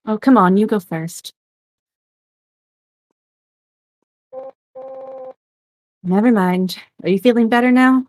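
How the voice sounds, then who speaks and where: A woman's voice: medium pitch, normal speed, a little mellow, dry recording, close to an everyday voice. A woman playing a young rejected witch, wistful yet teasing, confiding in a conversational way in a game script performance. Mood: light, confiding. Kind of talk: fan-performed game script, dramatic dialogue.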